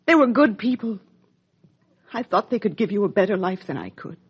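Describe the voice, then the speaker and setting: A woman playing a regretful middle-aged actress confessing to her abandoned child in a 1950s radio soap, halting, earnest and dramatic, with a husky voice. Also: medium pitch, big pitch swings. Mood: pleading. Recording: dull lo-fi 1950s radio recording.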